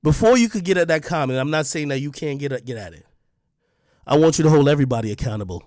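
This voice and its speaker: A man's voice: on the high side, lively intonation, quick pace, darkish tone, no echo, studio quality. A young adult man delivering a confrontational rant straight at the listener, repeating his points with heat. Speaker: online media personality. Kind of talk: direct-to-camera rant.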